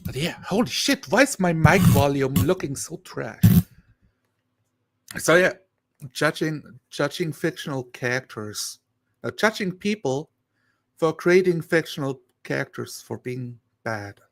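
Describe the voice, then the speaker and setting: Slow-talking man with a bright, forceful voice. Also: a little high, animated, slight background noise. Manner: halting, thinking aloud. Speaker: live stream co-host. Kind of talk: casual rambling live chat commentary.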